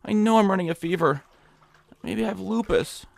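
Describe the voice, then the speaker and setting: A fretful, creaky-voiced whiny nebbish. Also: male voice, high voice, moderate pace. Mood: self-pitying, whiny. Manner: fretful, flustered, stammering. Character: nervous, whiny nebbish hypochondriac man, eager and hapless. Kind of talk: audio drama acting in old-time radio suspense style.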